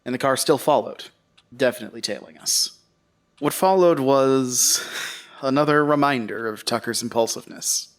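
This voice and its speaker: An actor playing a weary, uneasy survivor who tells his story in a halting, confessional way for an audio drama. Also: mid-range voice, natural voice. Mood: weary, reflective. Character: weary, uneasy male survivor recounting a horror ordeal. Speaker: narrator and lead character. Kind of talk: audio drama first-person narration.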